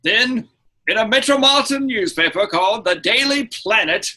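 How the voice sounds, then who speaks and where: Male podcast host, higher-pitched voice, rough edge, opinionated, profane, casual debate. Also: expressive, normal speed, pushed and bright. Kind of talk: casual pop culture debate.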